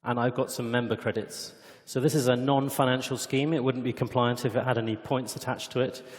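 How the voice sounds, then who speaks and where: A man's voice: medium pitch, little pitch movement, fairly quick. Man presenting in an echoey hall. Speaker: company executive presenting a product demo. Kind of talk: conference product demonstration. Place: conference hall stage. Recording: echoey hall with long reverb.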